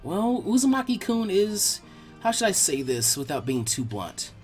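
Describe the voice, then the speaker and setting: A man's voice: high-pitched, big pitch swings, moderate pace, faint music behind. A man voicing an earnest, bookish young girl tutor who explains things kindly and teasingly in an audiobook-style story. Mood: teasing, sympathetic.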